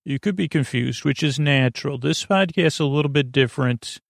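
A man hosting a bedtime podcast in a meandering, halting monologue, often interrupting himself, with a gently soothing, apologetic manner. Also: mid-range voice, gravelly, no echo, clean studio sound. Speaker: podcast host.

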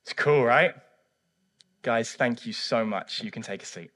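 A man preaching a sermon and interviewing a church member on stage, emphatic and swinging between hushed and loud. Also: medium pitch, a little slow. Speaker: pastor. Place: church service.

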